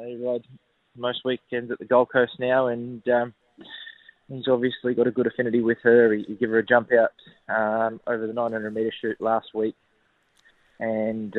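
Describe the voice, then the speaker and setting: A man with an Australian accent, a racehorse trainer talking casually on the phone, relaxed and full of ums and uhs. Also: mid-range voice, no room sound. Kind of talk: phone interview. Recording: tinny phone line.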